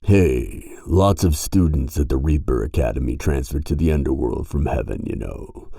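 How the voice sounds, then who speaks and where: A man's voice: rumbling low, moderate pace, creaky, dark tone, dry recording, studio quality, pitched below the performer's own voice, exaggerated voice. A man voicing a brash, sardonic grim reaper in an audio roleplay, slangy, teasing and argumentative. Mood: defensive, teasing.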